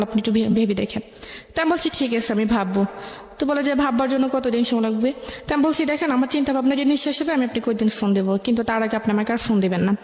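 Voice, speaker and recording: A woman's voice: fairly high pitch, darkish tone. A woman with a Bangladeshi Bengali accent telling her personal story in a radio interview, recounting conversations as dialogue. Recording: echoey room with long reverb.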